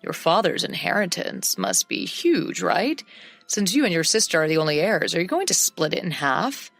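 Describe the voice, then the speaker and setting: A woman's voice: on the low side, sing-song, normal speed, creaky, a bit bright, no echo, faint music behind, exaggerated voice. A woman voicing a greedy adult husband who pushes and demands money, in a narrated story. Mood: wheedling. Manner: pushy, money-obsessed demands.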